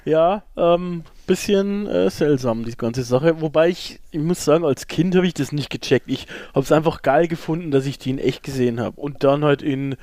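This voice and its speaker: A man's voice: a little high, normal speed, few pauses, a little mellow, no room sound. A middle-aged man, chatting casually and enthusiastically as a podcast co-host in colloquial language.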